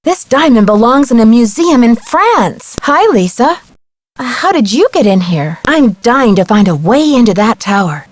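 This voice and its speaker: A woman's voice: a little high, sing-song, moderate pace, dry recording. A woman playing a polite, inquisitive young female amateur detective in scripted video game dialogue. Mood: curious, friendly. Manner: courteous, inquisitive, clearly enunciated.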